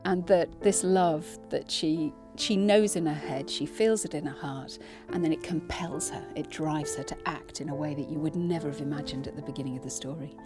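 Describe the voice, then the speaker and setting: Woman, slightly low voice, reflective actress interview, earnest, music underneath. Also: moderate pace. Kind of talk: promotional interview talk about her role.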